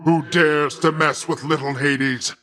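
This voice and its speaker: An actor playing a boisterous, jovial sea god uncle in a video game, speaking in a grand, hearty, theatrical and self-satisfied way. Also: male voice, on the high side, steady tone, takes it slowly, pushed and bright. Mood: hearty, bragging. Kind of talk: video game character dialogue.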